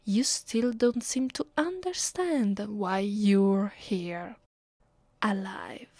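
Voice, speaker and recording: An imperious, centuries-old female vampire acted out by a woman speaks with an Italian accent in an ASMR roleplay. Her delivery is extremely slow and deliberate, at a medium pitch, with bored, condescending menace. The sound is very dry, with hardly any room on it. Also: a little mellow, no echo. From Italy.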